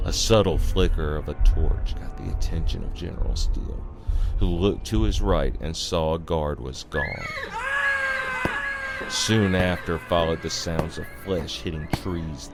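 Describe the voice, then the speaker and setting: Man, low voice, heavy creak, ominous horror narration, theatrical, music underneath. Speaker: storyteller. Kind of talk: dramatic scripted horror narration.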